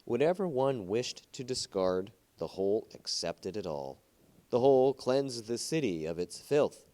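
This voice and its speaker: A man reads a short story aloud as an amateur narrator. His voice sits fairly low, and he phrases the prose in a measured, deliberate storyteller's way. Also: mellow. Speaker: amateur reader of short stories.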